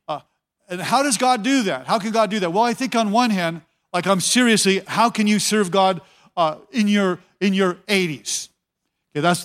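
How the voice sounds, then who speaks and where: High-voiced man preaching a sermon. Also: a bit bright. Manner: conversational, repeats words, urges listeners to "watch". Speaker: pastor.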